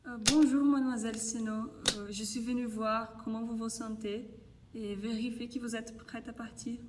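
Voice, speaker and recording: A woman's voice: on the high side, steady tone, normal speed, darkish tone, far from the mic, slight background noise, lightly acted. A woman playing a polite, businesslike nurse in a French audio drama.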